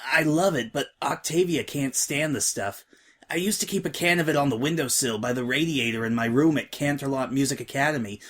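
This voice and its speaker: A man's voice: fairly high pitch, lightly acted. A man voicing a brash, blunt young mare DJ who teases and wisecracks.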